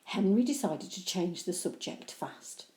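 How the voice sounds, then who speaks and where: A woman reading a children's story aloud with animated, deliberate, expressive narration. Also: lowish voice. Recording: echoey room.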